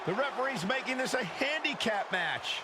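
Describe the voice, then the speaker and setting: An actor playing an excitable wrestling play-by-play commentator in a video game. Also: male voice, very high voice, moderate pace, heavy background noise. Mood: surprised.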